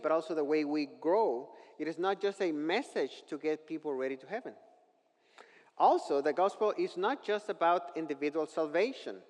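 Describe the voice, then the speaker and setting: A man from northern Mexico with a Mexican accent, a native Spanish speaker, preaching a sermon in a measured, earnest, explanatory manner. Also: on the high side, a little mellow. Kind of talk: sermon with scripture reading. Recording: long hall reverb.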